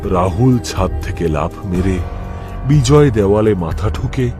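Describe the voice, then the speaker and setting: A man's voice: lowish voice, expressive, a little slow, over music. A man reading scripted horror cartoon narration in the first person, in a dramatic, suspenseful manner.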